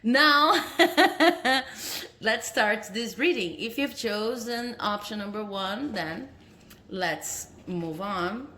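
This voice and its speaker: A woman's voice: on the high side, animated, drawn-out words, sharp tone. A woman from Brazil with a Brazilian Portuguese accent, a tarot reader talking casually to her viewers and often asking "okay?", recorded on a phone indoors. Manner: friendly, tags sentences with "okay?", laughs between phrases. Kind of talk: casual talk to camera.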